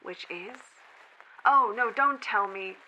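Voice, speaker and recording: A woman's voice: medium pitch, takes it slowly, sharp tone, muffled recording, slight background noise. A woman playing a sceptical college student podcaster in an audio drama, speaking in a dry, sarcastic, conversational way. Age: young adult. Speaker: college true crime podcaster.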